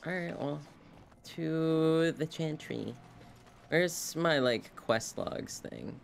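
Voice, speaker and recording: A man's voice: a little high, drawn-out words, gravelly, slight background noise. A man streaming a game with casual live commentary, full of playful sing-song asides.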